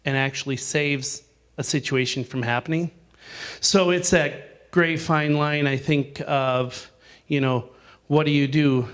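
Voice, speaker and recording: A man leading a campground owners' discussion in a meeting room, casual and rambling, with many fillers and restarts. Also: medium pitch. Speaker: discussion group leader.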